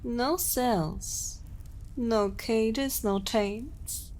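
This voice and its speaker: An evil queen acted by a woman speaks extremely slowly and with total command. She is seductive and menacing with a motherly edge, often sinking into intimate whispers in an ASMR roleplay style. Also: a little high, darkish tone, slight background noise, low-quality recording. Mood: silky, amused. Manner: slow, deliberate, dominant, often dropping into whispers.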